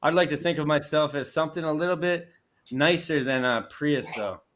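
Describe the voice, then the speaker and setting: A man's voice: a little high, a little fast, noisy background. A young Canadian man hosting a casual sports chat show, talking in loose rambling restarts with easy laughs.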